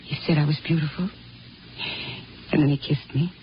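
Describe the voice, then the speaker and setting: An actress playing a wistful Martian wife in 1950s radio drama, speaking in a hesitant, evasive manner. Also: female voice, low-pitched, steady tone, moderate pace, lightly acted. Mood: wistful, flustered. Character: dreamy, wistful Martian wife longing for a stranger. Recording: noisy, dull 1950s radio recording.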